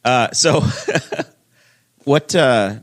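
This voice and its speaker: A man's voice: mid-range voice, expressive, drawn-out words. A man from Indiana who hosts a casual podcast, joking and teasing as he rambles through ad reads and stories. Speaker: podcast host.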